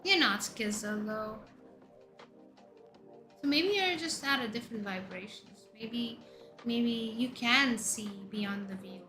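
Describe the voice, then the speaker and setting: Woman, 30s, Indian accent, VTuber host; unhurried, bright and chatty, soft music bed. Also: on the high side. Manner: chatty, jumps between questions to chat, loud exclamations. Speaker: VTuber streamer. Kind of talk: casual chat reading viewer messages. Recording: faint background music under voice.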